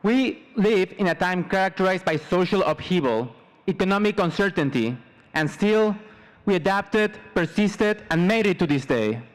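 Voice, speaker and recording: A man in his twenties with a fairly high-pitched voice delivers a prepared student address at a commencement. He grew up in Mexico and speaks formally, measuring out his words in short phrases. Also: expressive, moderate pace, rough recording. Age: 20s. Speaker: student salutatorian.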